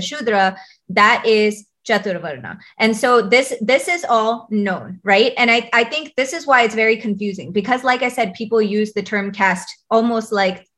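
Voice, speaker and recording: A woman's voice: medium pitch, a bit bright. American woman explaining in casual chat. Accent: American English. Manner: conversational, frequent fillers and restarts while explaining.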